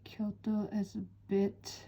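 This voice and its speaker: A woman from western Japan with a Japanese accent, chatting in an unhurried, soft-spoken way with frequent pauses while she draws. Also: mid-range voice, monotone, husky, highs cut off. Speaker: art instructor. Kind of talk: relaxed chat while drawing.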